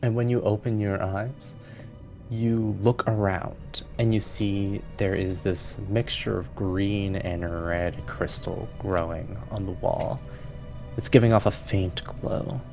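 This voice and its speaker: Deep, creaky-voiced male game master. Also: fairly even intonation, slow, music in the background. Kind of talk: narrating a tabletop fantasy game.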